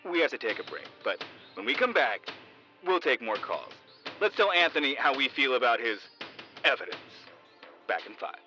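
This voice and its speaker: A man's voice: medium pitch, big pitch swings, moderate pace, pauses often, bright, forceful tone, muffled recording, soft background music. A man playing a radio talk show host who mocks a caller and cuts him off. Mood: angry. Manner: mocking, curt and dismissive.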